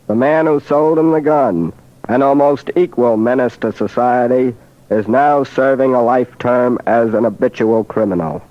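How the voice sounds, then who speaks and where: A man's voice: medium pitch, normal speed, no room sound. Male narrator on muffled old radio. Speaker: narrator of a crime case, prosecutor. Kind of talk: 1940s radio scripted narration. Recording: dull old radio recording.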